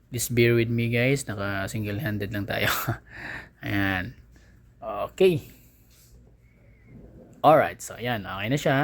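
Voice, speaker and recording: A man with a Filipino accent giving a casual unboxing and review, informally explaining things step by step to his viewers. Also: mid-range voice. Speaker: vlogger, product reviewer.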